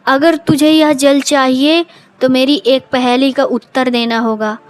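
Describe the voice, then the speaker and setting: Slow, high-voiced menacing witch played by a woman. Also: steady tone. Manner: slow, imperious, threatening delivery. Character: menacing female witch guarding a forest pond. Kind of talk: cartoon moral story voice acting. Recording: background music under the voice.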